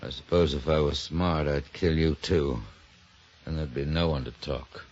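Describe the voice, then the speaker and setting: An actor playing a secretive, reckless lover and partner in crime, terse and dramatic in a 1950s radio mystery. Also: male voice, extremely low pitch, fairly even intonation, unhurried, darkish tone. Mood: grim. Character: secretive, reckless male lover and criminal accomplice. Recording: dull old radio recording.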